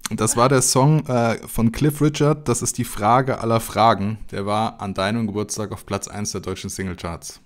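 A man co-hosting a live stream chat, who reads the guest introduction and then chats in a relaxed, friendly way over a headset. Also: lowish voice, moderate pace, hardly any pauses. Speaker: co-host. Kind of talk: scripted intro reading, then casual chat.

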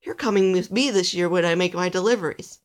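A woman voicing a kindly old male elf in a Christmas storybook, with a hearty, gently coaxing manner. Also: fairly low pitch, fairly quick, close to an everyday voice. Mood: cheerful.

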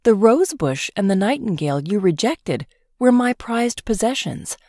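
A stubborn young storybook swineherd, voiced by a woman, calm and sure of himself. The speech is dry and close, with a lively rise and fall. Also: mid-range voice, moderate pace, no echo. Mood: earnest. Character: self-assured, stubborn young man, a prince as swineherd.

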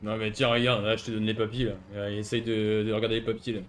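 Fast, defiant man with a lowish voice. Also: completely flat delivery, a little hiss or hum. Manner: defiant, dismissive, cheeky. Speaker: gamer playing a biker civilian stopped by police. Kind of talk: casual improvised trolling role-play.

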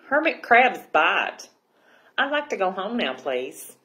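A woman's voice: mid-range voice, big pitch swings, takes it slowly, sharp tone, lo-fi sound, heavily acted. A woman voicing a tiny, polite young mermaid with a prim, precise manner in a children's storybook. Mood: indignant. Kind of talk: children's picture book read-aloud.

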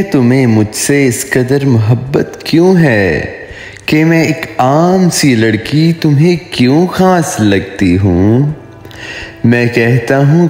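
A man in his thirties reciting romantic poetry in a measured, expressive way, lingering on his phrases. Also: mid-range voice, echoey room, long reverb tail. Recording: faint music behind the voice.

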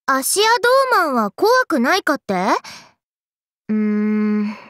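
A fun-loving, trendy young girl from an anime game. Her voice is extremely high and highly animated, full of breezy slang. The sound is close and dry. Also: unhurried, dry recording, exaggerated voice. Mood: wry. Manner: exuberant, breezy slangy speech. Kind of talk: anime game character lines.

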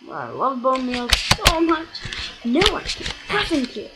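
A let's play gamer giving rambling, self-correcting commentary on a block-building game, with sudden excited outbursts, recorded at a home computer. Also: high voice, measured pace, loud music behind the voice, rough recording. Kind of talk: casual gameplay commentary.

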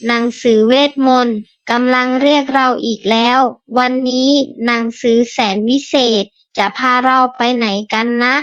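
A woman's voice: a little high, steady tone, normal speed, few pauses, fairly bright tone, dry recording, highs cut off, close to an everyday voice. A woman voicing a curious, kind young child adventurer who speaks with wonder to young listeners in a storybook tale. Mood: wondering, cheerful. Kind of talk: children's story hosting and acting.

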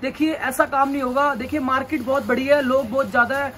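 Insistent high-voiced young shopkeeper. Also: male voice, fairly even intonation, fast, a bit bright, slight background noise. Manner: insistent, repeats reassurances. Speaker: shop owner. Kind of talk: sales pitch in a shop. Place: large indoor clothing shop.

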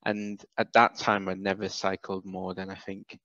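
A British man in his thirties, raised in Wales, chatting casually as an interview guest over a headset, with relaxed, halting speech full of fillers. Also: sits low, no echo. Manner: relaxed, many fillers and restarts. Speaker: guest, cap business founder. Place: indoor room, video call. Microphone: headset on video call. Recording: dull, dry video-call audio.

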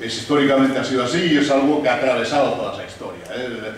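A man in his fifties, a Catalan native, giving a measured talk as a guest speaker at a panel table, with many pauses and restarts. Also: medium pitch, a little hiss or hum. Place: room with large windows, panel table. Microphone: desk mic on stand, filmed from across room. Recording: echoey room from a distance.

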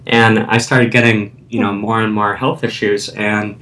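Low-voiced man, distant mic, hesitant. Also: flat delivery, a little slow, bright, forceful tone. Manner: hesitant, many fillers and restarts. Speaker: guest, author. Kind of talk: interview storytelling. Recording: distant mic with faint rumble.

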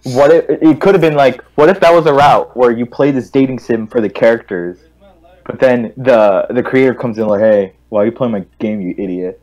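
A man's voice: mid-range voice, normal speed, a little mellow, dull audio. A man casually giving his opinion in a game discussion. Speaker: gaming stream panelist.

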